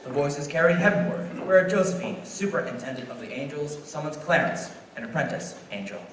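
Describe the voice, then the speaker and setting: A man's voice: a little high, animated, a little fast, fairly bright tone. A man playing a showy old-time radio host, announcing theatrically on stage. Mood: showy, welcoming. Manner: theatrical, declamatory. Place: stage in a hall before an audience. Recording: very echoey hall from far off, music.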